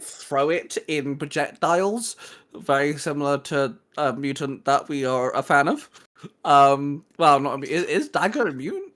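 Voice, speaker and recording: Male co-host, voice a touch high, casual geeky creative chat for a fan channel. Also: a bit bright.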